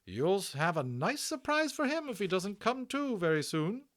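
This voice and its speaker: A man voicing a hearty, bustling middle-aged British hospital matron in a storybook, with a brisk, gushing, exclamatory manner. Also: sits high, very animated, a bit bright, pitched above the performer's own voice. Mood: brisk, fond.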